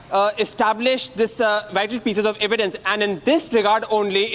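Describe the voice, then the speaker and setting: A man with an Indian English accent giving a wordy, halting live news report from outdoors. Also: high-pitched, fairly bright tone, in a large hall. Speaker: field reporter. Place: outdoors outside an agency headquarters.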